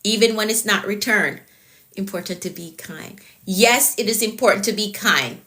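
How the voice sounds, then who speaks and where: A woman's voice: medium pitch, moderate pace, sharp tone. A woman with a Jamaican accent, a productivity coach giving a live-stream talk, repeating her key points and greeting viewers by name.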